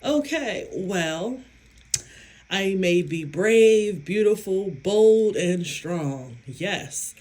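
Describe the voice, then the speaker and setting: A woman vlogger and psychic medium talking casually to her viewers, with a hoarse, raspy voice and an emphatic, self-assured way of speaking. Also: mid-range voice, lively intonation, darkish tone. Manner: slow, emphatic, self-affirming, laughs often. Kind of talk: casual talk to camera.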